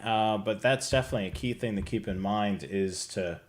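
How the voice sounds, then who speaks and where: A man's voice: fairly low pitch, fairly quick. A middle-aged man with an American accent, presenting casually as host of a hobby livestream from a room at home. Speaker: host of a radio scanner show. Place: indoor home room on a video call.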